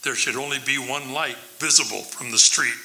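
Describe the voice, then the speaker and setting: A man's voice: mid-range voice, fairly quick, creaky, pushed and bright, a little hiss or hum, poor audio. A man playing a businesslike crime boss in an old-time radio drama, giving clipped instructions and checking details. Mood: cool, conspiratorial.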